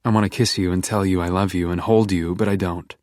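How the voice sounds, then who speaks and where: A man voicing an emotional 24-year-old woman who talks in a high, pleading, breathless way. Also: fairly even intonation, fast talker, a little mellow, dry recording, studio quality, natural voice. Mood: self-loathing. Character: emotional distraught young woman, 24, self-loathing.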